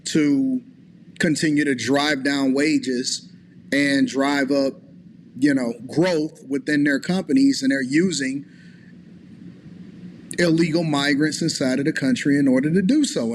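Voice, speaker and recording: Male commentator, measured and articulate, faint noise behind. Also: medium pitch. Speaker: commentator in a played clip. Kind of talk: political commentary.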